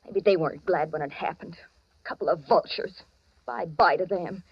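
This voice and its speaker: A woman's voice: fairly low pitch, moderate pace, slightly rough. A woman playing a fugitive's devoted, worried sweetheart in a 1940s radio melodrama, breathless and emotional with a wry edge. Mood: eager, scornful. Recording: dull old radio recording.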